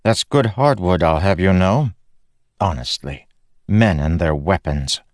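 A man voicing a stern, no-nonsense townlord's wife who talks in a brisk, scolding manner. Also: low voice, lively intonation, no room sound, studio quality. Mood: curt.

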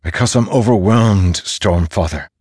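A man's voice: sits low, lively intonation, moderate pace, dry recording, studio quality. Man playing a defiant, weary commanding general. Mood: exhausted, earnest. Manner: insistent, demanding, earnest. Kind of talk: dramatic fantasy audiobook character.

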